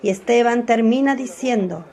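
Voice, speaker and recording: A woman narrating a radio drama in a measured, clear storytelling voice. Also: on the high side, a crowd in the background.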